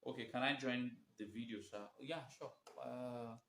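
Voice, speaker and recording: A man talks off the cuff on a live stream, picking his way through fillers and false starts. His voice comes through bright and forward. The room around him rings with echo. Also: medium pitch, steady tone, moderate pace, slight background noise. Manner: rambling and hesitant, many fillers and restarts. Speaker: host of a live video show on history. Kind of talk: unscripted live-stream talk. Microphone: phone or webcam during a live stream. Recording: echoey room.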